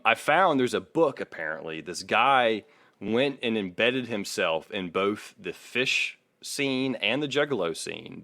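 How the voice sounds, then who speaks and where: Low-voiced man co-hosting a casual podcast. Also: a bit bright, dry recording. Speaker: podcast co-host. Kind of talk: casual podcast discussion, partly reading aloud.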